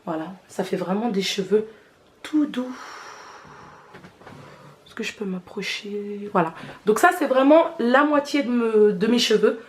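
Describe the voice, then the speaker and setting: A woman talks her viewers through a hair routine in a loose, slangy way, narrating each step as she does it. Her nose is stuffed up and congested from a cold. Her voice sits in an ordinary middle pitch for a woman. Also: lively intonation, measured pace, pauses often, slight background noise. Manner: chatty, slangy, talks while demonstrating. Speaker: beauty vlogger. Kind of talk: casual hair tutorial chat.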